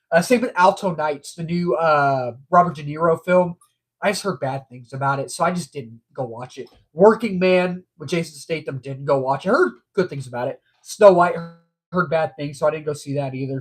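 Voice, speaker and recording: A man's voice: a little high, breathy edge. A man hosting a casual movie news livestream, stuffed up from allergies, rambling and repeating himself with frequent ums.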